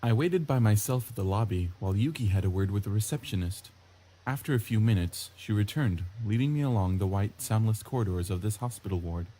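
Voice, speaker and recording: A man's voice: lowish voice, lively intonation, brisk, rough recording. A man reading a visual novel's story aloud as scripted narration. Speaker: gameplay video host reading a visual novel. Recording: loud game music under the voice.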